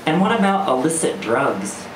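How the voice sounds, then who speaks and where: A man's voice: fairly high pitch, big pitch swings, moderate pace, pitched above the performer's own voice. A man voicing a stiff male psychiatrist who asks clinical, probing questions in a live spoken-word story. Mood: clinical, questioning. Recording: roomy live venue, distant mic, music.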